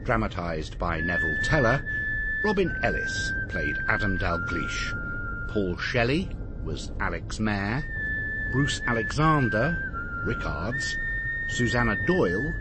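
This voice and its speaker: Slow British announcer over loud background noise. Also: male voice, medium pitch, wildly swooping pitch. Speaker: radio announcer. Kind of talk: scripted closing credits announcement.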